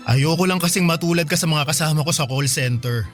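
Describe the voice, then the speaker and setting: A man with a Filipino accent playing an earnest, caring young boyfriend in a radio drama, with a gentle, coaxing manner. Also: on the high side, brisk, faint music behind, lightly acted. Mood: concerned, coaxing. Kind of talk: Tagalog radio drama acting.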